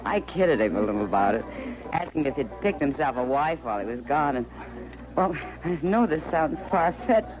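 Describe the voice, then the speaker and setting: Music plays clearly under a dulled old radio recording of a frontier saloon woman. Her American voice swings freely in pitch, warm, teasing and confiding. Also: expressive, moderate pace, highs cut off. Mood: confiding, amused. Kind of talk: 1950s radio western acting.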